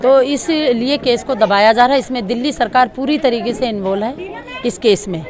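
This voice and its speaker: A woman's voice: on the high side, moderate pace. A woman gives an impassioned street interview, emphatic and repeating her points. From Delhi, India. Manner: emphatic, repetitive. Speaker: local protester interviewed. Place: outdoors at a protest. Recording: outdoor street with crowd noise.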